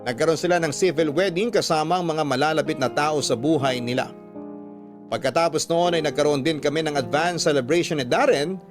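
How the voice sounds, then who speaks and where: A Filipino man narrates a radio drama, reading a personal story from a script. His voice sits a little high for a man, and music plays clearly beneath it. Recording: music bed under the voice.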